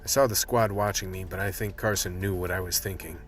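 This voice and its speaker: Man acting a low, gritty vice cop narrator. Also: steady tone, fairly quick, soft background music, lightly acted. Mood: tense, brooding. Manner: gritty, hard-boiled. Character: world-weary, tense male vice cop narrator. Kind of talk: scripted radio play narration.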